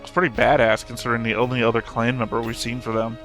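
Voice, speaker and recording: A man's voice: medium pitch, flat delivery, fast talker, music in the background. A man giving casual, off-the-cuff commentary as the host of a reaction show.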